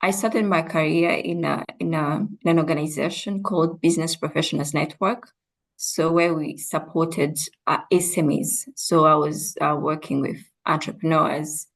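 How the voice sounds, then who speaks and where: Rwandan-born woman coach, lowish voice, calm thoughtful explaining in an interview. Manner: measured, reflective explaining. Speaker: guest coach. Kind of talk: interview answers.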